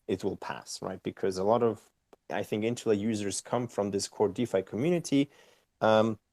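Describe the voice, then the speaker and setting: Low-voiced man explaining. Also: a little mellow, no echo. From Austria. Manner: explanatory, tags questions with "right?". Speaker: company co-founder and CEO, guest. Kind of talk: casual interview answers.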